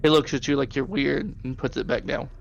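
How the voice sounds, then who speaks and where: A man with a gravelly voice, narrating a tabletop game as game master in a casual way. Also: mid-range voice, fairly even intonation, fairly quick, highs cut off, slight background noise.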